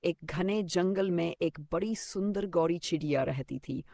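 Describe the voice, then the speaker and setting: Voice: medium pitch, unhurried, creaky, dark tone, no room sound, clean studio sound. A narrator reading a scripted children's story.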